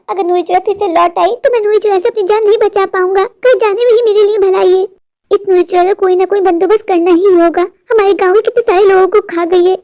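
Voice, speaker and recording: A woman voicing an earnest, gullible village husband in a cartoon, wordy and easily flattered. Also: very high-pitched. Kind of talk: cartoon dialogue and monologue.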